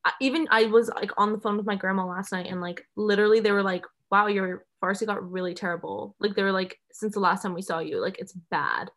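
A woman's voice: mid-range voice, quick pace, hardly any pauses, fairly bright tone. A young woman co-hosting a podcast, talking in a chatty, filler-heavy way with frequent giggles. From United States. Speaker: podcast co-host. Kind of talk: casual chat.

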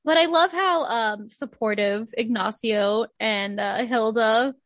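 A young woman co-hosting a casual podcast chat, animated, laughing often and acting out quotes. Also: a little high, slow, dry recording. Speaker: podcast co-host.

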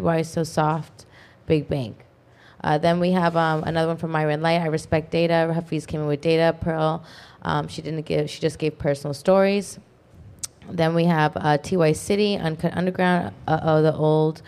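A woman co-hosting an online talk show, reading viewer comments aloud quickly, with frequent fillers. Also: deep voice, darkish tone. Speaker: co-host reading super chats. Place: online video podcast studio.

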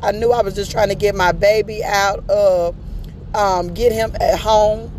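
Hoarse, raspy woman, earnest testimony, noisy rumbling backdrop. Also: steady tone, a little slow, darkish tone. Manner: earnest, storytelling, faith-filled. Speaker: podcast speaker. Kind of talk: personal testimony addressed to an aunt.

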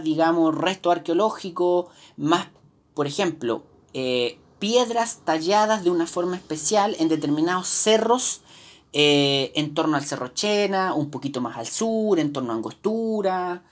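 Woman, Chilean accent, low pitch, live history lesson, halting explanatory delivery. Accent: Chilean Spanish. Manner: explanatory, many fillers and restarts. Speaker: history teacher. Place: indoors, teaching from slides.

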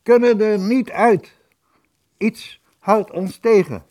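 A man acts out an inner messenger for brain and limbs in a radio story. The voice is pushed high and loud, calling out each urgent message slowly, word by word. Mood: pleading, alarmed. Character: slow, urgent telegraph messages between brain and body parts.

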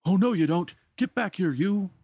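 A man voicing a gruff, bullying cartoon villain who barks out threats. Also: medium pitch, sing-song, moderate pace, darkish tone, no echo, voice pushed up. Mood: angry.

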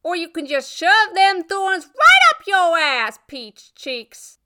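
A woman's voice: very high-pitched, sing-song, extremely slow, very noisy, voice pushed up, full character voice. A woman voicing a furious, foul-mouthed woman who scorns her ex in an audiobook, emphatic and scathing. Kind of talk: audiobook character voice.

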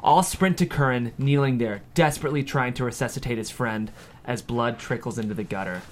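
A man's voice: medium pitch, brisk, a little hiss or hum. A man reading scripted screenplay stage directions as the narrator of a table read.

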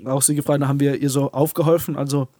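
A young man co-hosting a casual podcast, talking in a halting way with many fillers and restarts. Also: mid-range voice, level tone, warm tone, dry recording, clean studio sound. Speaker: podcast co-host. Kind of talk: casual conversation. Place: living in a city abroad.

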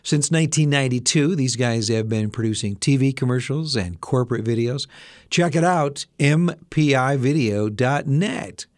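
A man hosting a casual daily news briefing, slightly hoarse and adding personal asides to the headlines. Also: medium pitch, moderate pace, no echo, studio quality. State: slightly hoarse, losing his voice. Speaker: radio news briefing host.

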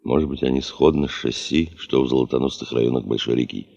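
Sardonic expedition boss, bass-deep, commanding, probing, radio play, muffled recording. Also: male voice, quick pace, dark tone, full character voice. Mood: businesslike. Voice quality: very deep gravelly voice. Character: stern, sardonic male expedition chief questioning a subordinate. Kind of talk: Russian radio play acting.

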